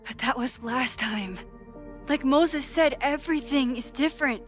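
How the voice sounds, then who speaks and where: An actress voicing an earnest, worried young woman in a naturalistic video game drama, speaking in a measured, hesitant way. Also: high voice, soft background music. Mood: grave, confessional. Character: earnest, worried young woman, determined investigator with time-rewind knowledge.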